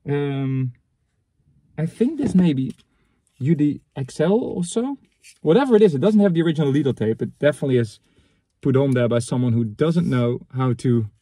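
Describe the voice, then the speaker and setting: A man in his thirties with a mellow, somewhat husky voice talks casually inside a car. He sounds matter-of-fact and halting, thinking aloud as he comments on things in his hands. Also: medium pitch, expressive, dry recording. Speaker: vlogger reviewing secondhand reel-to-reel tapes. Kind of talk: casual unscripted chat, commenting on items as he handles them.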